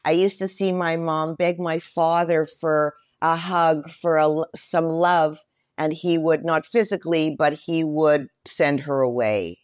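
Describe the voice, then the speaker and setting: A woman in her sixties speaking candidly and reflectively as an interview guest, with measured emphasis. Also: low-pitched, steady tone, darkish tone, no echo, clean studio sound.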